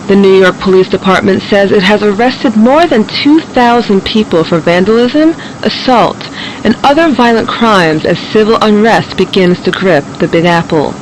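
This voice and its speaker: A woman's voice: mid-range voice, lightly acted. Woman acting a serious news reporter, noisy broadcast. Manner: formal, serious. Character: urgent, formal news reporter on doomsday crisis.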